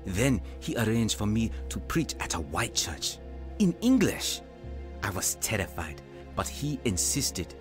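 A man's voice: lowish voice, sing-song, unhurried, music in the background. An actor playing a humble, self-doubting young African evangelist, narrating his own story in a radio drama. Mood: reflective. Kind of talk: dramatised first-person narration.

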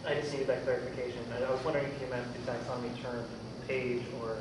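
A man's voice: medium pitch, little pitch movement, rapid-fire. A man in a meetup audience joining an informal technical discussion as he helps with community questions. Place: meetup room. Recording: distant echoey room with clear noise.

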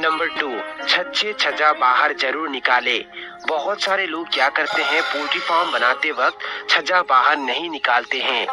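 A man giving scripted, step-by-step instructional narration as a poultry farmer, explaining methodically and repeating his points. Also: on the high side.

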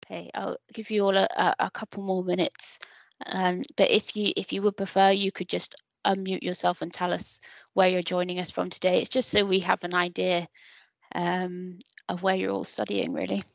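A woman's voice: lowish voice, little pitch movement, normal speed, vocal fry, no room sound. A woman hosting an online event on a video call, polite and encouraging, often repeating words as she talks. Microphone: computer mic on a video call.

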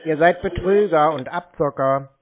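A man's voice: a little high, moderate pace. A man chatting casually on a phone call in a laconic, stubborn, needling way. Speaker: scam-baiter taking phone calls. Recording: muffled narrow-band phone call audio.

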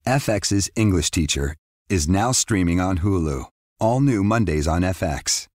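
A man's voice: low-pitched, expressive, a little slow. A man reading a scripted television promo as an advert announcer.

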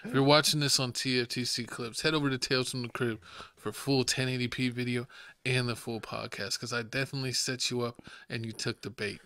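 Young male co-host, crisp bright tone, quick teasing comebacks. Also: medium pitch, fairly even intonation, no room sound. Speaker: podcast co-host. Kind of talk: casual podcast banter. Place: small home studio, indoors.

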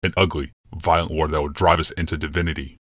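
A man's voice: rumbling low, little pitch movement, fast talker, a bit bright, no echo, clean studio sound. A man voicing a towering, zealous emperor who speaks in solemn pronouncements. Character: grave, towering male emperor obsessed with struggle.

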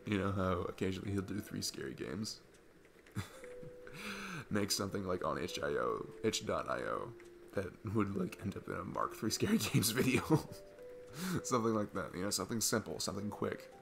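A man's voice: low voice, expressive, moderate pace, vocal fry. A young man playing an easygoing, earnest divorced dad on a date, chatting in a casual, rambling ASMR roleplay style. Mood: casual, playful. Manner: rambling, informal, chuckling often. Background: music.

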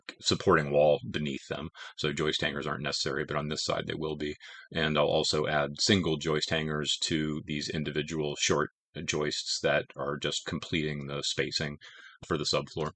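A middle-aged man calmly explaining his house framing work outdoors on a build site, pausing often with "uh". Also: extremely low pitch, dry recording. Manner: measured, explanatory, frequent "uh" fillers. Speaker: homestead builder. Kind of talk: casual build narration.

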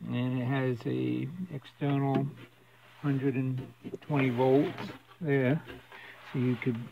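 A man giving a casual hands-on demonstration in a plain, unhurried, halting way. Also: medium pitch, flat delivery, creaky. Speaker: radio restorer demonstrating his work. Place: basement with concrete walls. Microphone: handheld camera, operator behind it. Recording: dull lo-fi camera audio, faint noise.

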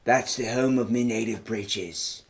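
Guy putting on a hammy scottish accent for a joke podcast, normal pitch. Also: unhurried, creaky. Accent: put-on Scottish. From United States. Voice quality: hammy, joking. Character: man faking a Scottish accent. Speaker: podcast host. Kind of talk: comedic podcast chat.

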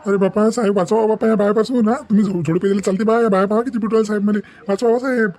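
Man acting a blustering cartoon kidnapper, high male voice, hurried, exasperated complaining. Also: steady tone, a little mellow, close to an everyday voice. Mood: pleading. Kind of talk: Marathi cartoon comedy acting.

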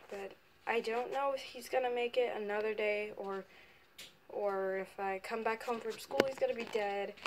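A young teenage girl talking casually and tenderly in a home pet vlog, recorded on a phone. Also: fairly high pitch. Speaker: vlogger and amateur animal rescuer. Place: indoors in a home living room and bedroom. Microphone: phone, at arm's length or propped nearby. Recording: noisy amateur phone recording.

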